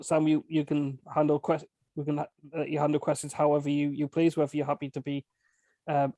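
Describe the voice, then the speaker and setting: Male meetup host, mellow and even, informal, dry close sound. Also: a little high, no echo. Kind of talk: casual hosting announcements.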